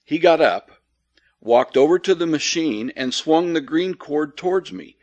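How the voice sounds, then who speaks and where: A man narrating an old ghost story from a script in a measured, formal, dryly cynical storytelling manner. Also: on the high side, animated, darkish tone, muffled recording. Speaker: audiobook reader.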